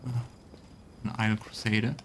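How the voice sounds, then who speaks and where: A man's voice: on the low side, flat delivery, normal speed, a bit bright, slight background noise. A man giving a casual let's play commentary, thinking aloud as he goes. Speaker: game streamer.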